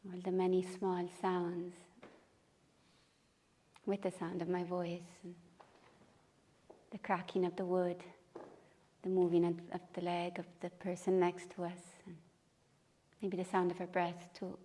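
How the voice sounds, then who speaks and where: Low, even-toned woman guiding meditation. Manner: gentle, unhurried, with long pauses. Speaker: meditation and qigong teacher. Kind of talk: guided meditation. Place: room at a retreat.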